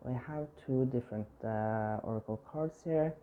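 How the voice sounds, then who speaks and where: A man's voice: mid-range voice, moderate pace, phone-quality sound. A man giving a tarot reading with a scratchy, strained voice, hesitant and full of fillers and restarts. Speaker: tarot reader.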